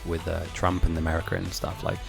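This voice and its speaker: A chatty man with an English accent who grew up speaking German and Italian talks loosely and laughs often while he streams a game. His voice sits low and has a creaky, gravelly edge. Soft music plays faintly underneath. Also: monotone, quick pace. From grew up in Germany and Italy. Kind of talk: casual chat while gaming, reading viewer messages.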